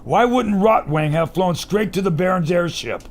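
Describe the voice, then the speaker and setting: Man acting a high-pitched, excitable filmmaker. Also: moderate pace, slight background noise. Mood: hearty, directing. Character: brash, excitable American adventure filmmaker, bossy and boastful. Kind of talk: old-time adventure serial radio acting.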